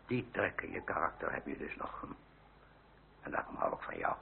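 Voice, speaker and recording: An actor playing a grumbling, soft-hearted old uncle in a radio drama, speaking haltingly and emotionally with a gravelly voice. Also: male voice, a bass voice, wildly swooping pitch, heavily acted. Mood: gruff, tender. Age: older. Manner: halting, emotional, with sighs and interjections. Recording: crackly, narrow-band old radio recording.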